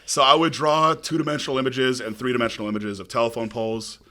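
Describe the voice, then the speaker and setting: A young man with a deep voice, a podcast guest telling stories in a relaxed, casual way with many fillers. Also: fast.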